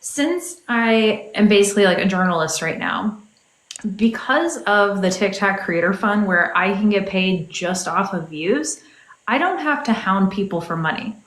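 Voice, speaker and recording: A woman's voice: medium pitch, expressive, measured pace, fairly bright tone. Chatty woman in an echoey room. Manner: chatty, laughs often, many fillers. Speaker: political commentator. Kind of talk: casual chat.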